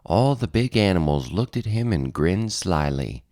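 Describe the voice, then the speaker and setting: A man narrating a children's picture book as an expressive read-aloud. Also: deep voice, a little slow, no echo, studio quality. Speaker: storybook narrator.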